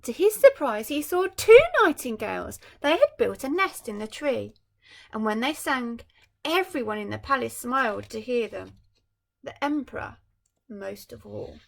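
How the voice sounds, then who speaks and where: Gravelly storytelling woman teacher. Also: fairly high pitch, animated, measured pace. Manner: gentle, musing asides to young listeners. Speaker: primary school teacher. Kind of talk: reading a storybook aloud to children.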